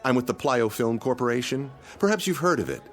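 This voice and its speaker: A man's voice: mid-range voice, lively intonation, fairly quick, faint music behind, lightly acted. An actor playing a pompous, penny-pinching man with overly polite, formal speech in a radio drama. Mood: flustered, smooth-talking. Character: fussy, pompous, penny-pinching male freeloader.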